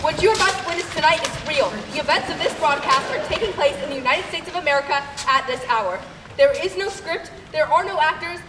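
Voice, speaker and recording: A woman's voice: sits high, a little fast, heavy background noise, close to an everyday voice. A young woman playing an earnest, dramatic radio announcer in a school stage play, heard from far off in an echoey hall. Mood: solemn, urgent.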